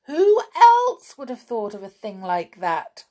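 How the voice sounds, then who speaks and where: A woman voicing a crowd of eager female witches in a storybook reading, crying out in shrill, gushing bursts. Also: wildly swooping pitch, takes it slowly, warm tone, heavily acted.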